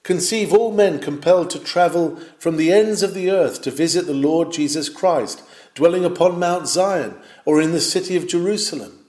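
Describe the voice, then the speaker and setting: A man's voice: on the high side, darkish tone. Declamatory man reading a sermon, roomy. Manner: measured and declamatory, with swells of fervour. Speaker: reader of a historic sermon. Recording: roomy, echoing sound.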